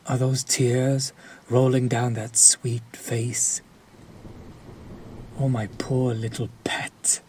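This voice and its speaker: A man voicing a sadistic, possessive vampire lord in an intimate audio roleplay, silkily commanding and deliberate, often dropping into a breathy whisper. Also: medium pitch, a little mellow. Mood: soothing, condescending. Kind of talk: intimate scripted audio roleplay addressed to the listener. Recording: clear background ambience noise.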